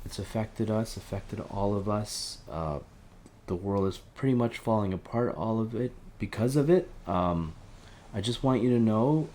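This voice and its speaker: Man playing a low-voiced, earnest helpline counsellor. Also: a little mellow, a little hiss or hum. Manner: halting, earnest, repeatedly reassuring and apologetic. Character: empathetic male helpline counsellor, anxious, gentle, pleading. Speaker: helpline operator. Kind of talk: audio drama phone-call acting.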